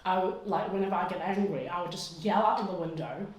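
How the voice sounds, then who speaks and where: A woman's voice: fairly low pitch, fast talker, a little mellow, echoey room. Blunt, laughing woman from Hong Kong. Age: 30s. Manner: blunt, swears freely, laughs often. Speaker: guest. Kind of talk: casual chat. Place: her home.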